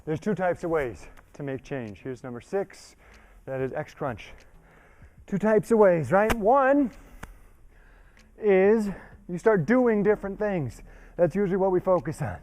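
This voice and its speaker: A man's voice: high-pitched, lively intonation, unhurried, long pauses, darkish tone. A man leading a workout while giving a motivational talk, conversational and emphatic, with frequent check-ins and some breathlessness from exertion. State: exerting, getting winded. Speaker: fitness trainer leading a workout. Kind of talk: motivational talk during exercise.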